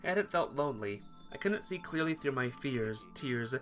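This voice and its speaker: A man reads visual novel narration aloud in a bright, animated voice. He stumbles now and then and rereads the phrase, with music playing behind him. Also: mid-range voice, moderate pace. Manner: stumbles over words and rereads them. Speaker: gamer reading a visual novel playthrough. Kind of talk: read-aloud first-person narration.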